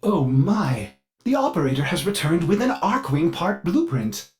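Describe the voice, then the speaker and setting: A man's voice: fairly high pitch, very animated, a little slow. A man voicing a fussy, servile ship computer AI in a video game, speaking in a chirpy, formal and theatrical way with an undercurrent of violent glitches. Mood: helpful, anxious. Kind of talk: video game character voice lines.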